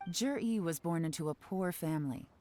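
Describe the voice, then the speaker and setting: A woman playing a shrewd, composed investigator in a video game, speaking in a measured, confident, analytical way. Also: on the low side, close to an everyday voice. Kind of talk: English video game voice acting. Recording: soft game music behind.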